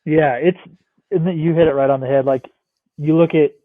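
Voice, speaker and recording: A man's voice: fairly high pitch, steady tone, normal speed, no room sound. Man on a tinny phone-like line. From Northeastern United States. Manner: rambling, many "like" fillers, swears freely. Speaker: podcast guest, hunter. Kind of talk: casual interview chat.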